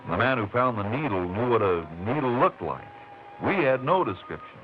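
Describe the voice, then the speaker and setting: An American actor playing a steady, dogged Texas Ranger in a 1950s radio western, speaking in a dry, plain, folksy way. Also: male voice, medium pitch, brisk, natural voice. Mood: measured, determined. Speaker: lead actor and narrator. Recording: muffled, noisy 1950s radio recording.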